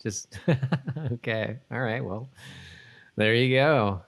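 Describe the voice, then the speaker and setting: A man's voice: mid-range voice, slow pace, gravelly, fairly bright tone. A man co-hosting a podcast in casual chat, talking in a rambling, self-interrupting way. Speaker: podcast co-host. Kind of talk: casual chat reviewing a TV episode.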